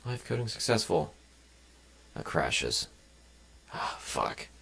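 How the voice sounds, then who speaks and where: Gravelly young man rambling on a dev stream. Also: extremely low pitch, big pitch swings, slow pace, bright, forceful tone. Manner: rambling, jumps between tangents, swears casually. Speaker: game developer streaming. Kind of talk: casual live-stream chat while coding. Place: home desk, indoors.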